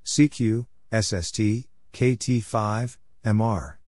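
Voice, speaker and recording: A man reading out radio call signs and short exchanges letter by letter in clipped, measured phrases, as a scripted announcer. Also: fairly low pitch, a little mellow, no echo, clean studio sound. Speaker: Morse code practice announcer.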